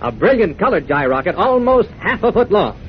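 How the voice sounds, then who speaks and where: Eager, high-pitched old-time radio salesman. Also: sing-song, brisk, lo-fi sound. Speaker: commercial co-announcer. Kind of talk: 1940s radio sponsor pitch. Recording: rumbly, noisy old radio recording.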